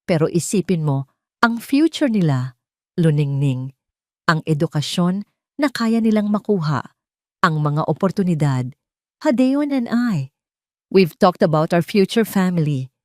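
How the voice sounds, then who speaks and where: A woman narrator puts on a rich young rival in a romance audio drama. She speaks gently in a low voice, mixing English with Tagalog, her sugary pity hiding a threat. The sound is close and dry. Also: expressive, measured pace, long pauses, dry recording. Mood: false sympathy. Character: sweetly condescending rich young woman, veiled menace behind pity.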